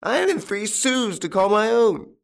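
A man's voice: high-pitched, big pitch swings, moderate pace, creaky, pitched above the performer's own voice, exaggerated voice. A man voicing a dazed old tramp in an audiobook, whining and stammering with bewildered innocence. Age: older. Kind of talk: audiobook character acting.

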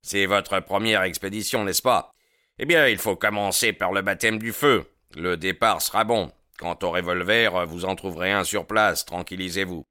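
A gang boss handing out orders, played by a male reader in a low, bright voice. He is commanding and smooth-talking. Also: normal speed, no room sound, clean studio sound.

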